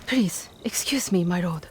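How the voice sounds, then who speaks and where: Peasant woman for game drama: mid-pitched, hushed, polite, humble, slight background noise. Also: heavily acted. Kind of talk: video game cutscene acting.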